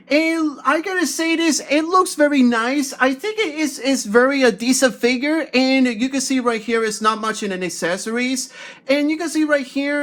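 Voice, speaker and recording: A man giving a casual, rambling unboxing review as a toy reviewer, with halting, repetitive phrasing. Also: high-pitched, fairly bright tone.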